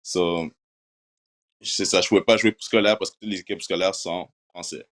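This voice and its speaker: Low-voiced young man, casual talk. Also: steady tone, moderate pace, fairly bright tone. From Quebec, Canada. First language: English. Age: young adult. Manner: casual, mixes English words like "so" and "like" into his French. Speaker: university football player. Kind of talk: interview answers.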